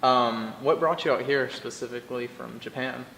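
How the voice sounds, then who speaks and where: A man's voice: mid-range voice, moderate pace, vocal fry, fairly bright tone, reverberant, long reverb tail, a little hiss or hum, poor audio. A man interviewing a priest, asking questions hesitantly with many fillers.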